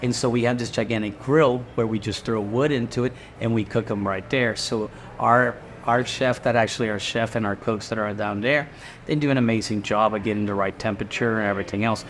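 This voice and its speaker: A man's voice: fairly low pitch, steady tone, fairly quick, slight background noise. A middle-aged man from Guatemala, a restaurant owner, chatting casually in an interview and rambling a little with fillers and restarts.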